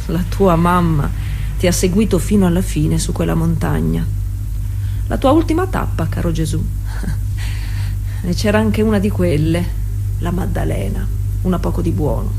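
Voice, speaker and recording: Woman acting working-class woman cyclist, defiant, confiding, colloquial stage monologue, hushed asides, drawn-out pauses, clear music behind. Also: lowish voice, natural voice. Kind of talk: theatre monologue.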